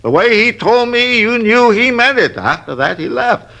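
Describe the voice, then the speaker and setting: A man's voice: very high-pitched, expressive, normal speed, creaky, pushed and bright, lo-fi sound, full character voice. An actor playing a fussy, long-winded male robbery witness who grumbles and wanders off topic, in 1950s radio drama style. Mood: recounting. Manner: rambling, grumbling, easily sidetracked.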